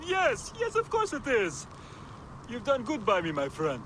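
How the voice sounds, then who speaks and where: An actor playing a wily, evasive and jovial desert merchant in a video game, with a theatrical, teasing way of bargaining. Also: male voice, very high-pitched, huge pitch swings, a little slow, a bit bright, a little hiss or hum, heavily acted, poor audio. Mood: jovial, cajoling. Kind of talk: video game cutscene acting.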